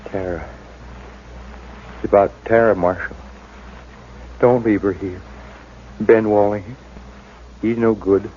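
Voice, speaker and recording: A frail old rancher near death, his low voice faint and broken by pauses. The words come slowly and short of breath. It is heard through a dull, muffled old radio recording with a light hiss. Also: steady tone. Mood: weak, pleading. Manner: faint, halting speech. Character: dying old male rancher, weak and pleading. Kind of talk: 1950s radio drama acting.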